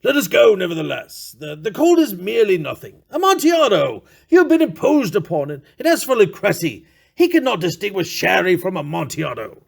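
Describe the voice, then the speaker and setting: Drunken carnival-goer in a gothic tale, played by a male reader: proud, insistent, slightly slurred, swinging widely in pitch. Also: very animated, a little fast, vocal fry, breathy edge, heavily acted. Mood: eager, dismissive. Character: Fortunato, proud, drunken Italian wine connoisseur in carnival motley.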